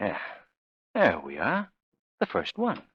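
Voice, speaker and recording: An actor playing a suave, self-assured gentleman sleuth in a 1930s radio drama, urbane, measured and wry. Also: mid-range voice, wildly swooping pitch, breathy edge, full character voice. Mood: brisk, confident. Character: suave, self-assured male amateur sleuth, fond and teasing. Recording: dull old 1930s radio recording.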